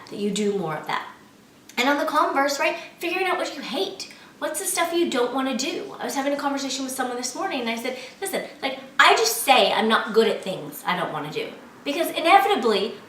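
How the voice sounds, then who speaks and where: A woman's voice: on the high side, fairly bright tone. A woman hosting a motivational vlog, talking casually and emphatically to viewers with frequent conversational check-ins. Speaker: host of a self-help motivational vlog. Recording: echoey, noisy room, distant mic.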